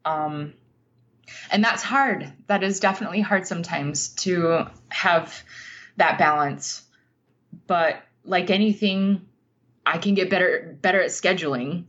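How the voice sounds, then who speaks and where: Slow, thoughtful woman on a muffled line. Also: lowish voice, fairly bright tone. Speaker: podcast guest, writer. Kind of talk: reflective interview conversation, storytelling.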